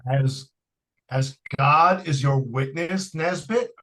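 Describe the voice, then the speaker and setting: A man's voice: on the high side, takes it slowly, a bit bright, slight background noise, rough recording. A man playing a stern, exasperated father in an old-time radio suspense drama. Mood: grim, accusing.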